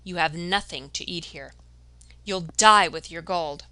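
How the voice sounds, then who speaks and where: A woman's voice: fairly low pitch, measured pace, sharp tone, clean tone, no room sound. A woman voicing a big, weary, stern talking raven in a folktale, emphatic and scolding. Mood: angry, scolding. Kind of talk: folktale storytelling.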